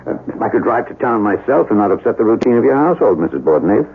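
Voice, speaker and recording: An actor playing a troubled, courteous chemist in a 1970s radio drama, with a courtly, earnest, theatrical delivery. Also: male voice, on the low side, very animated, fast, husky, full character voice. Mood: courteous, guarded. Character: troubled, courteous male chemist haunted by his past. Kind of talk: 1970s radio drama acting with brooding monologue. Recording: dull vintage radio recording, music behind.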